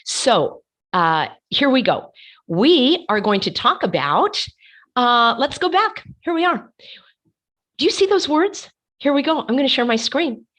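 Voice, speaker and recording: A woman hosting an online commentary show, hoarse from laryngitis, talking passionately and hammering her points with repeated words. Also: high-pitched, sing-song, measured pace, fairly bright tone. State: losing her voice. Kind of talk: casual commentary while sharing her screen.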